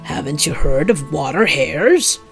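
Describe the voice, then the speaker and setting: A woman voicing a calm, crafty, gently scornful peasant husband in a storybook, speaking in a casual, matter-of-fact way. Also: sits low, a little slow, soft background music, pitched below the performer's own voice. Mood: mildly scornful.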